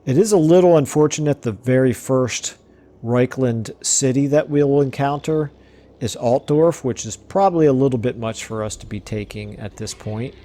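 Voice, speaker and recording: A man commentating his strategy game play casually, thinking aloud with fillers, his voice going hoarse. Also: mid-range voice, moderate pace, mellow. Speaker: let's play host.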